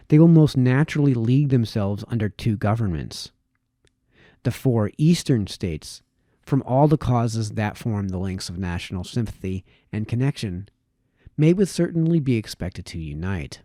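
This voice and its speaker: Male reader for formal essay narration: relaxed, soft-edged timbre, expressive intonation, measured emphatic delivery. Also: mid-range voice, dry recording, clean studio sound. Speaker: amateur reader of historical essays.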